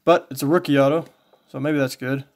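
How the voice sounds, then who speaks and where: A man casually commentating as he shows collectibles, in a low-key, folksy way, thinking aloud. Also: fairly even intonation, fairly quick, noisy background. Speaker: trading card collector and video host. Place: indoors, small home tabletop setup.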